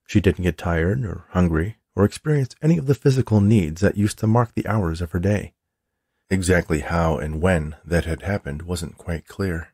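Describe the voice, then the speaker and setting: Gravelly, low-voiced male storyteller. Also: warm tone, dry recording, clean studio sound. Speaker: author reading own book. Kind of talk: scripted story narration.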